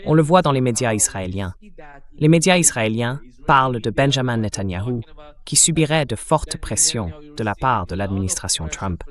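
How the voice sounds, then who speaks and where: A man's voice: mid-range voice, animated, normal speed. A synthetic male voice hosting an interview, setting out the news as a narrated summary. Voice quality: synthetic text-to-speech voice. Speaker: interview host.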